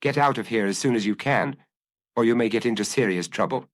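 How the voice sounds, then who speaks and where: A man voicing a cocky but rattled gentleman thief who talks to himself in a theatrical, self-mocking way in an audiobook. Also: medium pitch, a little fast, natural voice. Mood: grim muttering.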